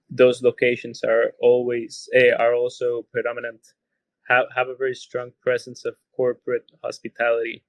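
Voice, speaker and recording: A man's voice: mid-range voice, vocal fry, no room sound. A man from Costa Rica with a Spanish accent, answering interview questions thoughtfully and often repeating words as he searches for phrasing. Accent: Costa Rican Spanish. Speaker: podcast guest, hotelier.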